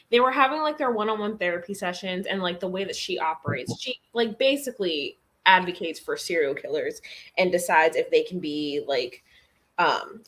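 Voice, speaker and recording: A woman's voice: fairly low pitch, fairly bright tone. A woman in a casual chat about books, speaking informally with slang and some swearing. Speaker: guest on a book reading livestream.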